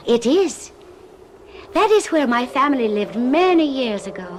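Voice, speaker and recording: An alluring young woman in an old-time radio drama. Her voice sits high, with a coquettish, formal politeness that keeps secrets. There is some ambient noise behind her. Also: big pitch swings, unhurried. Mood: soft, wistful. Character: charming young French innkeeper's daughter, alluring and secretive.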